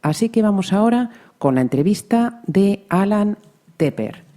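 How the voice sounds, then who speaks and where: A woman from Spain with a Spain Spanish accent, hosting an interview podcast in a relaxed way, often adding soft affirmations. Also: medium pitch, moderate pace. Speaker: podcast host.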